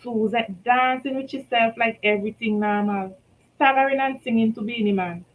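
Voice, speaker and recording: A Vincentian woman reading her own short story aloud, telling it in rhythmic Creole with lively shifts in loudness. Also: a little high, moderate pace, low-quality recording. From St. Vincent and the Grenadines.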